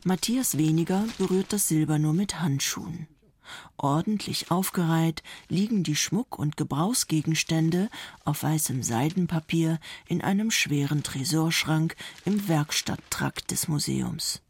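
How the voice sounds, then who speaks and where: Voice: medium pitch, unhurried, husky. A narrator reading a scripted documentary report.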